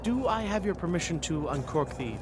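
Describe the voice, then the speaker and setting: A man's voice: a little high, animated, fairly quick, background noise, natural voice. A man playing a deferential, anxious servant tending bar in a video game, apologetic and obsequious. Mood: apologetic, meek.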